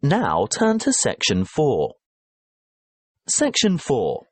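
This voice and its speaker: A man reading scripted educational narration for a listening test in a measured, instructional way. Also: medium pitch, huge pitch swings, slightly rough, no room sound.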